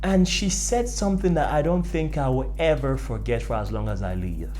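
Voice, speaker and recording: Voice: medium pitch, animated, moderate pace, dark tone, slight background noise. A young adult with a Nigerian accent telling personal stories in an emphatic way, repeating phrases for effect. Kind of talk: animated storytelling to camera.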